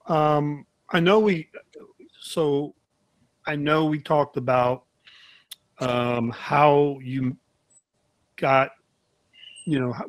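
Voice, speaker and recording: A middle-aged man hosting a casual interview over a video call, circling toward his questions in halting, roundabout phrases. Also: mid-range voice, no room sound. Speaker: interview host. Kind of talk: casual chat. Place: indoor room, video call.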